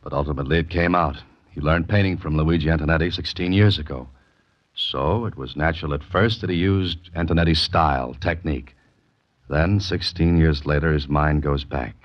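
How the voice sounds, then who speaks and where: Hardboiled radio sleuth. Very low voice, terse and controlled, dull vintage sound. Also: male voice. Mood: explaining. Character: deep-voiced, determined male amateur investigator narrating his case. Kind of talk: 1940s radio mystery acting and narration.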